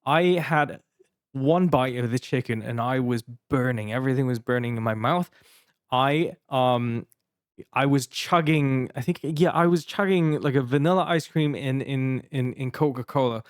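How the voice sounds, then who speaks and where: A man's voice: medium pitch, moderate pace, smooth, no room sound, studio quality. A man in his thirties with a Taiwanese accent, co-hosting a podcast and telling a long casual story with many restarts and fillers. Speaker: podcast co-host.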